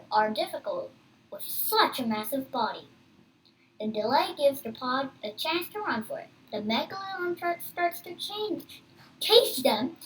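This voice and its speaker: A child reading a book aloud with casual asides, halting and stumbling over hard words. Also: sits high, a bit bright, distant mic, rough recording.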